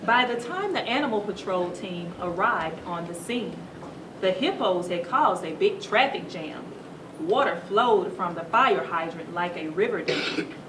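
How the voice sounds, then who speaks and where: A woman reading a children's story aloud to schoolchildren in a teacherly, animated way, stopping to put questions to them. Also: fairly low pitch, measured pace. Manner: teacherly, asks the listeners questions. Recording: roomy echo, distant mic, noisy background.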